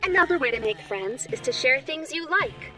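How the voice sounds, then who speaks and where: A woman playing a gentle, nurturing angel who hosts a children's cartoon, speaking in a soothing, instructive way straight to the young viewer. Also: sits high, expressive, moderate pace. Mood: kindly, encouraging. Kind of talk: children's educational show dialogue. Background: background music.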